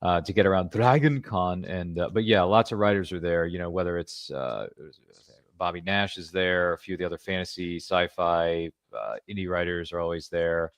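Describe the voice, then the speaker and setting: A man with a very low, deep voice hosts a podcast interview, talking in a chatty, jokey way with playful asides. The sound is dry and close, with no room echo. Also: fairly even intonation, moderate pace. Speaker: podcast host. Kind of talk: casual interview chat.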